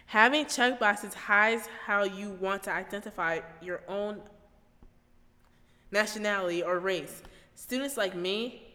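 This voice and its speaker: An American teenage girl reads a personal essay she wrote for student radio, earnest and steady. Her voice sits in a middle register and carries a long reverberant tail. It sounds like a student reporter reading her own script. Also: medium pitch, unhurried. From United States. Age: late teens.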